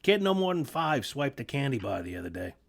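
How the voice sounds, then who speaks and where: A gentle, good-natured uncle in his sixties, played by a man, talking in halting, rambling bits full of fillers. His pitch rises and falls a lot. It is a radio play reading. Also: big pitch swings, vocal fry. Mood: fond.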